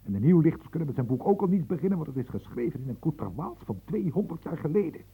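An actor playing an embittered, overworked secretary ranting sarcastically, in a radio drama. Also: male voice, a little high, big pitch swings, fast talker, warm tone, breathy edge, exaggerated voice, rough recording. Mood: scornful.

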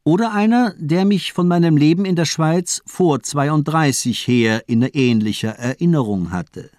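A man's voice: on the high side, a little slow, a little mellow, no echo, studio quality, close to an everyday voice. An actor playing a murderous, pedantic doctor in a radio crime drama, precise, formal and mocking in his lecturing. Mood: amused, methodical. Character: cold, amused villain doctor, murderous and pedantic.

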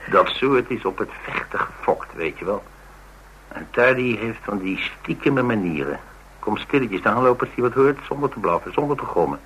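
A man's voice: on the low side, expressive, moderate pace, fairly bright tone, slight background noise, poor audio. An actor playing a rugged, blunt man, telling of his exploits in a wry, colloquial radio drama style. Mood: grim relish. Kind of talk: Dutch radio drama dialogue, conversational storytelling.

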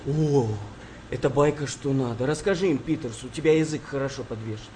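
A man's voice: mid-range voice, a little fast, dark tone, slight background noise, lightly acted. A man playing an earthy, grumbling wartime navy sailor with coarse, bantering talk in a Russian radio play. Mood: chatty. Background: music behind the voice.

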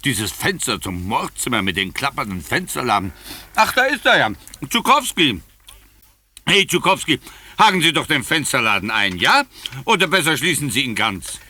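Sharp-voiced, sceptical grumbling official. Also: male voice, mid-range voice, big pitch swings, moderate pace, exaggerated voice. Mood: calling out. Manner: dismissive, sarcastic, peevish. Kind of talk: 1950s German radio drama acting. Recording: vintage 1950s radio play recording.

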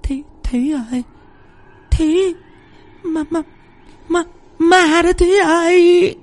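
A man's voice: extremely high pitch, takes it slowly, soft background music, voice pushed up. A man voicing an old woman cook who is timid and frightened, stammering in a raised voice. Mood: terrified.

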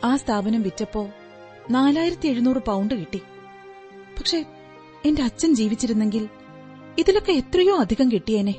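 A woman's voice: a little high, expressive, moderate pace, pauses often, mellow, close to an everyday voice. A woman playing an earnest, talkative, polite client who explains her troubles in detail in a radio drama. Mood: candid, explaining. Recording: radio drama with music behind. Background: music.

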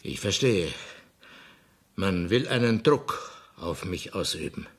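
An actor playing a stern, irritable Bavarian royal ruler in a German radio play, pompous and brusque, with a husky voice. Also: male voice, low-pitched, animated, takes it slowly, full character voice. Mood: angry, decisive.

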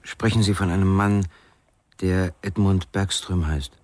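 An actor playing a terse, guarded investigator with a husky voice in a radio crime drama. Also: male voice, deep voice, steady tone, a little slow, a little mellow. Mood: questioning. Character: terse, guarded male investigator seeking a missing musician. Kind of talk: 1990s German radio crime drama acting.

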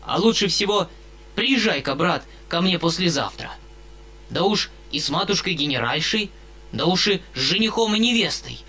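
A man's voice: sits high, lively intonation, normal speed, pushed and bright, voice pushed up. A man reading an audiobook, voicing a hearty, fawning fat country landowner who blusters effusively.

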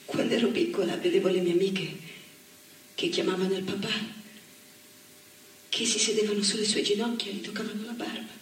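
A woman acting in a theatre monologue, playing a grown woman who was raised by her seamstress mother, speaking softly and reflectively. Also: mid-range voice, level tone, fairly quick, pauses often, slight background noise, natural voice. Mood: wistful. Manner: reflective storytelling, rising to firm declaration. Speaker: stage actress. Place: theatre stage. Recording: echoey stage recording from far off.

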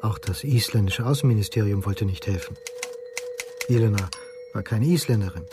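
A man's voice: on the low side, normal speed, background noise, lightly acted. An actor playing a sorrowful man narrating his memories reflectively in a radio drama. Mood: sombre recollection. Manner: sombre, reflective. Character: sorrowful Icelandic man recalling his lost lover. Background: music.

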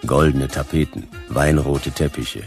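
A man playing a laconic hard-boiled private detective in a radio drama, narrating in a dry, clipped, deadpan way with a gravelly voice. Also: very deep voice, measured pace, soft background music, full character voice.

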